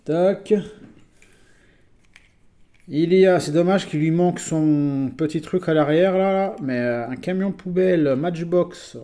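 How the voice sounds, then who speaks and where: A man from France talks casually through his collection of toy vehicles. He hesitates often and fills gaps with small sounds. His voice sits a little high and sounds warm and mellow. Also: slow pace. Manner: chatty, hesitant with many fillers. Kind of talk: casual video presentation.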